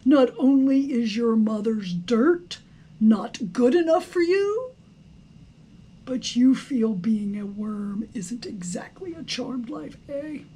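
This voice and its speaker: A woman voicing a proud, learned father worm from a storybook, pompous, deliberate and mock-patient. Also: on the high side, animated, mellow, husky, full character voice, poor audio. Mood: mock-patient, scolding.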